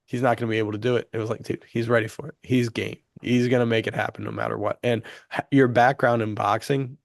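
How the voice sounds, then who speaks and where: A man's voice: mid-range voice, quick pace, vocal fry, dry recording, clean studio sound. A man co-hosting a podcast in casual, enthusiastic chat, earnest and slangy. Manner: earnest, animated wording with slangy "dude" and "like". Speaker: podcast co-host.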